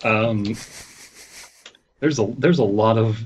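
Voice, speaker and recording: A man's voice: fairly low pitch, slow, slight background noise. A man co-hosting a podcast, reading aloud casually with wry commentary, on a slightly dull microphone. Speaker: podcast co-host.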